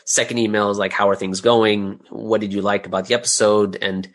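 A man with a fairly low voice talks as a guest in an interview. He explains things in a casual, conversational way. He often doubles back on words and pauses to find the next phrase. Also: little pitch movement. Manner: informal, hesitant, often repeating words mid-thought. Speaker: interview guest, audio drama creator and business owner.

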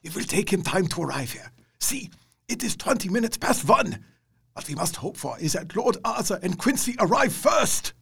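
An actor playing an elderly professor and vampire hunter, grave and steadfast, speaking in broken, formal English with a husky, gravelly voice. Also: male voice, sits high, big pitch swings, normal speed, a bit bright, full character voice. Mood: hushed urgent. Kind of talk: audio drama acting.

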